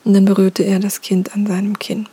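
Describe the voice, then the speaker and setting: A woman softly whispering a myth as a storyteller, with casual fillers. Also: medium pitch, monotone, fairly quick, husky.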